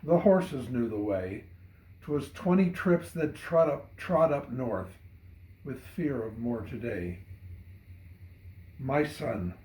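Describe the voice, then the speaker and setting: A man reading a narrative poem dramatically, in measured and solemn storytelling. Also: mid-range voice, animated, warm tone. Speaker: poet reading own poem. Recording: roomy echo.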